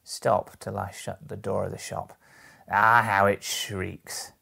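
Man playing a sly, gravelly old rag dealer. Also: fairly low pitch, flat delivery, slow, bright, forceful tone, full character voice. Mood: jovial, welcoming. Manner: wheedling, folksy, emphatic. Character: miserly old male rag-shop dealer, sly and jovial. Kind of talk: Victorian storybook character voice.

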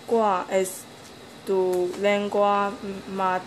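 A woman off camera reading interview questions slowly, with small stumbles. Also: mid-range voice, slight background noise. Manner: slow, flat reading with stumbles.

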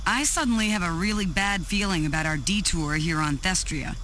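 An actress playing a tough, brisk mercenary commander in a video game, giving clipped military delivery. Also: female voice, lightly acted, rough recording. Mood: wary, urgent. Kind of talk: video game cutscene acting. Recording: loud music and vehicle rumble behind.

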